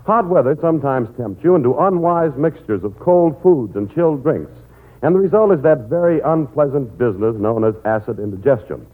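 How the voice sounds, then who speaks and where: A man's voice: medium pitch, animated, normal speed. An American man announcing a sponsor message in 1940s radio advertising style. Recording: muffled old narrow-band radio recording.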